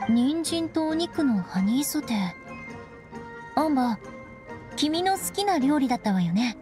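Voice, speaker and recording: A woman's voice: high-pitched, very animated, a little slow. A woman playing a proud, aloof young noblewoman knight in an anime-style game, cool and composed. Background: background music.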